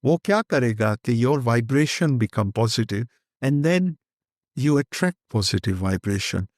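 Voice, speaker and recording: A man's voice: mid-range voice, expressive, brisk, darkish tone, no room sound, clean studio sound. An older man with an Indian accent, a guest speaking candidly and rambling in an interview, slipping Hindi phrases into his English. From Kenya.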